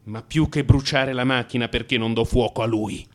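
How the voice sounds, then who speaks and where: A man's voice: mid-range voice, steady tone, moderate pace, lightly acted. An actor playing an agitated, frustrated man confessing to his analyst in a theatrical radio monologue, moving between muttering and outbursts. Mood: manic, enraged.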